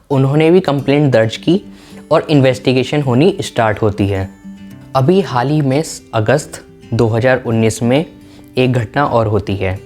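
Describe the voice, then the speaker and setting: A man's voice: mid-range voice, a little mellow. A man narrating in an explanatory, documentary style.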